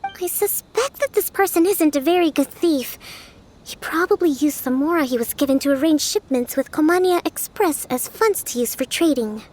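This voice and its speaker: Very high-voiced, composed young priestess. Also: female voice, expressive, moderate pace, a little mellow. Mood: analytical. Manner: articulate, reasoning step by step. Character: young shrine priestess strategist, bookish, composed, quietly confident. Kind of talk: video game voice acting.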